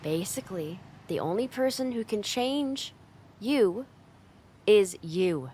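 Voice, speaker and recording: A woman's voice: mid-range voice, animated. Woman acting a brash tsundere delinquent, noisy background. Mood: blunt, encouraging. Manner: blunt, informal pep talk with hesitant, halting asides. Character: brash tsundere delinquent young woman, gruff but secretly caring. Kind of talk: ASMR roleplay acting, speaking directly to the listener. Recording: clear background noise, outdoor street ambience.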